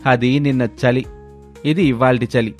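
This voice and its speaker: A man's voice: medium pitch, fairly even intonation, unhurried, faint music behind, lightly acted. A man playing a lazy village husband who complains and wheedles his way out of things in a storybook tale. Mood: whining. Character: lazy, whining village husband full of excuses. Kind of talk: storybook character voice.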